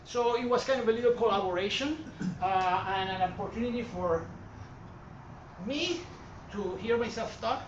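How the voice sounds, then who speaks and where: A man's voice: high-pitched, normal speed. A man from Puerto Rico with a Puerto Rican Spanish accent, giving a casual art history talk in a gallery, rambling and correcting himself with many fillers. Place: art gallery hall with an audience. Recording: far-off echoey room mic, dull and noisy.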